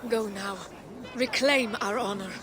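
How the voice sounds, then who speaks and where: A woman's voice: mid-range voice, a little slow, over music, lightly acted. A woman playing a loyal, shrewd Viking wife in a video game, speaking with earnest encouragement. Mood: concerned, resolute. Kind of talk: dramatic video game acting. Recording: busy outdoor crowd and noise behind.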